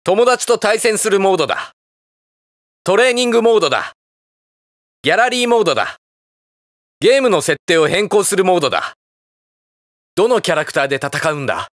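An actor playing a hot-blooded, earnest young armored hero in a video game, blunt and boyish in manner. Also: male voice, sits high, very animated, a little fast, long pauses, a bit bright, dry recording, clean studio sound. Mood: energetic announcing. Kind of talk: shouted battle lines and game voice clips.

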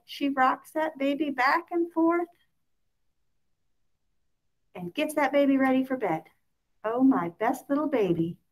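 A woman reads a story for small children in a fairly high voice. Her delivery is expressive and sing-song, stretching out the important words. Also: poor audio. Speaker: picture book reader.

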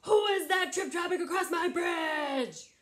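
A woman voicing a mean, greedy troll in a children's story, with booming, threatening delivery. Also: unhurried, fairly bright tone, shouting, faint music behind. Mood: menacing. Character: mean, greedy, bullying big troll under a bridge. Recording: echoey room.

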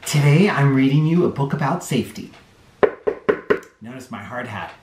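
A middle-aged man hosting a children's read-aloud, reading a storybook expressively with drawn-out phrasing. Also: mid-range voice, expressive, noisy background. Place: small bright living room or playroom, indoors. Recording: roomy indoor echo.

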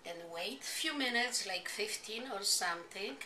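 An older woman with a Polish accent hosts an informal home cooking demonstration, speaking in simple, halting non-native English phrases. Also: medium pitch, lively intonation, pushed and bright. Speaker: home cooking show host. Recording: noisy amateur home video.

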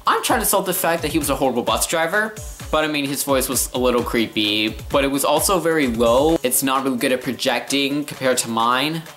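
A man's voice: mid-range voice, a little fast, fairly bright tone. A young male vlogger telling a story casually in a living room. He rants with sarcasm and exaggeration, and faint music plays behind him. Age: teenager to young adult.

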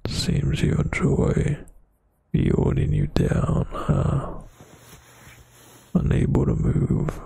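Slow, gravelly, breathy man murmuring seductively. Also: extremely low pitch, wildly swooping pitch, dark tone, dry recording. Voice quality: breathy, half-whispered, gravelly.

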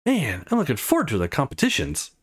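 A man voicing a brash, teasing, excitable young woman in a visual novel, with a bratty, self-assured manner. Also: on the high side, fast talker, creaky, husky, voice pushed up, full character voice. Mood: playful, boastful.

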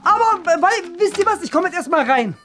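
A man acts out a doting, boastful dad in a comedy sketch. The voice sits very high and rambles on earnestly, with faint music behind it. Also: very animated, brisk, bright, forceful tone, full character voice. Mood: chatty, enthusiastic. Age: young adult. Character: chatty, boastful, doting father visiting his son's classroom. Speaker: performer in a school comedy sketch. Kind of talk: improvised German comedy sketch. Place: small bright classroom indoors.

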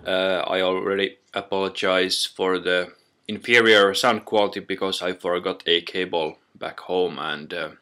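A man narrating an informal software tutorial in a halting, step-by-step way, recorded on a built-in computer microphone. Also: sits low, fairly even intonation. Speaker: tutorial presenter.

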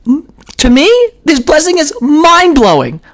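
A hoarse man in his forties teaching in a casual live stream from a small room at home, talking emphatically and often repeating himself. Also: extremely high pitch, a little slow. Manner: animated and emphatic, with frequent repeats and restarts. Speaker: video host teaching about prayer. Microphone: webcam, close framing.

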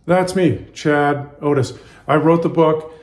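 A man's voice: on the high side, steady tone, takes it slowly, darkish tone. A man in his fifties speaking casually and simply to children as an author and illustrator, reading aloud and guiding drawing. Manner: casual, simple wording, encouraging asides to young viewers. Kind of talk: children's picture-book read-aloud and drawing tutorial.

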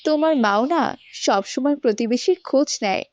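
A woman voicing a kindly, pious village mother with a gentle, encouraging manner in a cartoon. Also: sits high, lively intonation, brisk, dry recording, lo-fi sound. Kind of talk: children's moral cartoon dubbing and narration.

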